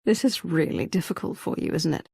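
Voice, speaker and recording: An actress playing a weary, forgiving female commander in a sci-fi game, measured and composed. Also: deep voice, wildly swooping pitch, a little mellow, dry recording, exaggerated voice. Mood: teasing, tender. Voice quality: gravelly, husky. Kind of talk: video game cutscene acting.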